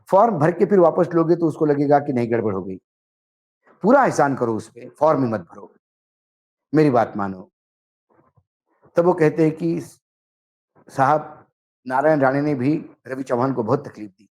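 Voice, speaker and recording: A man giving political commentary, speaking emphatically and deliberately in short phrases as he recounts dialogue. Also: on the high side, darkish tone. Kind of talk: political commentary, narrating and translating a reported conversation.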